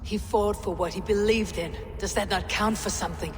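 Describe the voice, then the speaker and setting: A woman acting a stern female Viking leader in a video game drama. Her mid-pitched voice is grave and commanding, each phrase deliberate, set against clearly noisy, rainy ambience. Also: steady tone, natural voice. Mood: grieving.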